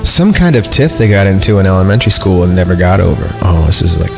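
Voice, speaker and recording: A man's voice: low voice, big pitch swings, quick pace, creaky. A man reading a horror story as a podcast host, breaking into casual, sarcastic, irreverent asides. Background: background music.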